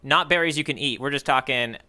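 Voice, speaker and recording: A man chats casually as a podcast co-host. His voice is bright and forward, at an ordinary male pitch, and he slips into playful, jokey asides. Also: a little fast. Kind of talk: casual readalong podcast chat.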